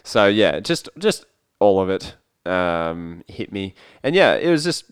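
A man's voice: deep voice, very animated, a little slow, clear, resonant tone, dry recording, clean studio sound. A man co-hosting a casual podcast, telling stories in an easygoing, rambling way. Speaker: podcast co-host. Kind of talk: casual chat.

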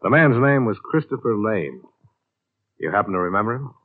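Brisk wry radio detective, tinny vintage recording. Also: male voice, on the low side, animated. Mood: probing. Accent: American. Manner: clipped, matter-of-fact, hard-boiled delivery. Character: brisk, wry male freelance insurance investigator. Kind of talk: 1950s American radio detective drama acting and narration.

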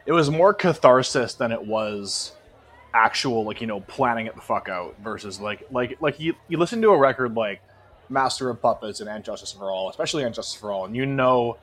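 A man's voice: medium pitch, expressive, fairly quick, a bit bright. Male podcast co-host, mid-range voice, casual and opinionated, emphatic, liberal with swearing. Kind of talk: casual opinionated music discussion.

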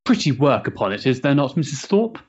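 An actor playing an earnest, devoted young Victorian gentleman in a period stage drama, courteous and solicitous. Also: medium pitch, sing-song, a little fast, husky, lo-fi sound. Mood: apologetic, courteous.

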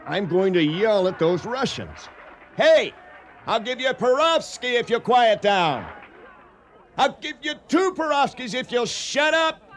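Excitable, food-obsessed cook, swooping voice. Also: male voice, very high-pitched, unhurried, a little hiss or hum, exaggerated voice. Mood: irritated, ranting. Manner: emphatic, opinionated, excitable. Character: overweight food-obsessed male cook, passionate, touchy and theatrical. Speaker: actor in radio drama. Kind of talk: radio comedy-drama acting.

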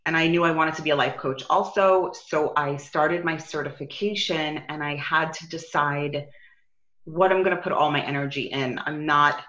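New York woman, coach guest, bright tense tone, echoey muffled remote audio. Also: low-pitched, steady tone, normal speed. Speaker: business and life coach, guest. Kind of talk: conversational interview. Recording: roomy, slightly dull sound.

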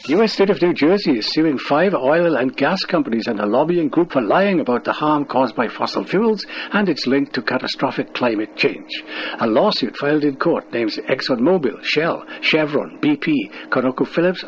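A man reads scripted radio news in a medium-pitched voice. The recording is dull and lo-fi, with slight background noise, like a shortwave broadcast. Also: expressive, normal speed.

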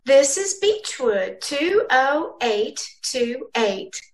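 A woman playing a solemn, polite lady on the telephone in an old-time radio drama, measured and formal. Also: high voice, very animated, full character voice.

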